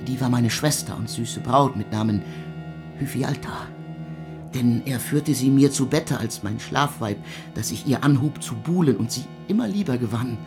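Ein Mann spielt einen gequälten Komponisten, der in einem Hörspiel in altertümlichem Deutsch feierlich und stockend seine Sünden beichtet, mit heiserer Stimme. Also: male voice, medium pitch, music in the background, natural voice. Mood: confessional, wistful. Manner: solemn, archaic, halting confession. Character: tormented composer confessing his sins in archaic German. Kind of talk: radio play monologue.

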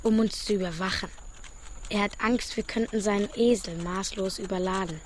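A woman's voice: mid-range voice, fairly even intonation, moderate pace, slight background noise, lightly acted. A woman voicing an earnest, wondering young village boy in a radio play. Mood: earnest, curious.

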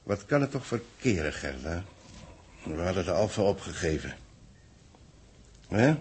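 A man acting a worried official in a 1960s Dutch radio play, speaking in a measured, formal way with a gravelly, husky voice. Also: low voice, very animated, a little hiss or hum. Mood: grave, pondering. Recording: muffled-edged vintage 1960s radio recording.

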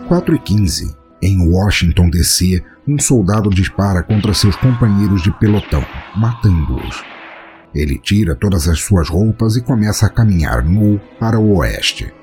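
A man with a Brazilian Portuguese accent narrates a scripted horror story in a measured, solemn, dramatic way. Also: low voice, expressive, dark tone, soft background music. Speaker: podcast narrator.

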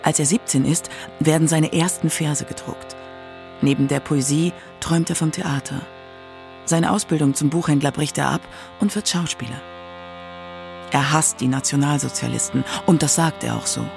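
A narrator reading a scripted radio documentary in a measured literary manner. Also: medium pitch, creaky.

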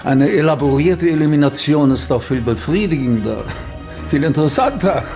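Smug, pompous general. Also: male voice, on the high side, animated, moderate pace, a little mellow. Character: smug, stiff male general and secret-service chief. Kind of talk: radio play acting. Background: faint music.

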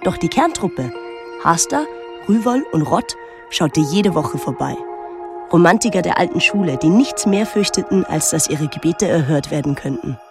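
Casting: pensive, detached teenage girl, introspective radio drama narrator, measured delivery, music underneath. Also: lowish voice, animated. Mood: detached, wry. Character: pensive, detached teenage daughter of a reclusive painter. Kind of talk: literary first-person radio drama narration.

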